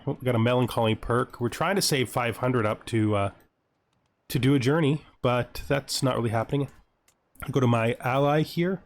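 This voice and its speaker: Chatty man commentating over music. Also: medium pitch. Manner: chatty, thinking aloud. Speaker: host of a gaming series. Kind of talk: casual gameplay commentary. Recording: music playing behind the voice.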